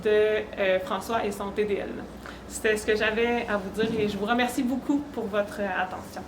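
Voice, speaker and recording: A woman's voice: mid-range voice, steady tone, brisk. A woman with a Québécois French accent giving an informal, conversational awareness talk to an audience. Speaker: panelist, speaker. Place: echoey room at a university event. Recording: roomy echo, faint background noise.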